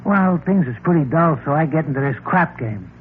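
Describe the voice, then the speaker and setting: An actor playing a cocky small-time crook in a 1940s radio crime drama, slangy, sneering and wheedling. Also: male voice, a little high, lively intonation, brisk, lightly acted. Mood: matter-of-fact. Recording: tinny noisy old radio recording.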